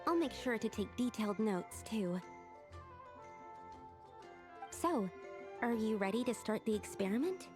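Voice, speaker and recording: A woman's voice: on the high side, lively intonation, moderate pace, music in the background, lightly acted. A woman playing an earnest, hesitant young female researcher in a video game, speaking in a precise, tentative, scholarly way. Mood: shy, polite.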